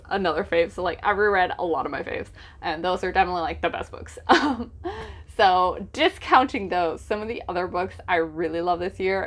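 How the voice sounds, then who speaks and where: Casual, chatty woman book vlogger. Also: fairly low pitch. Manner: informal, hesitant with frequent "like" asides, gushing emphasis.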